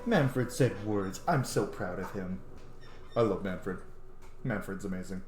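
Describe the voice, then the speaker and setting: Man, casual let's play streamer, enthusiastic, roomy sound, loud game music behind. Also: low-pitched, unhurried. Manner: enthusiastic, gushing, swears casually. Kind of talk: casual gameplay commentary. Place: small room at home, indoors. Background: video game music.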